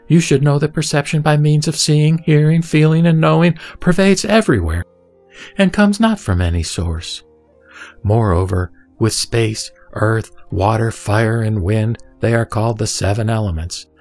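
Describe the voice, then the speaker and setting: A man reading a Buddhist scripture as formal, deliberate, solemn narration. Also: lowish voice, darkish tone.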